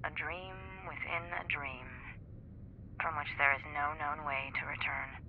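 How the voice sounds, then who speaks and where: A performer playing a detached research scientist reading a formal, measured report through a radio-like filter in a video game. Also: medium pitch, steady tone. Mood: clinical. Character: detached, clinical research lab announcer. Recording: dull radio-like playback, faint noise.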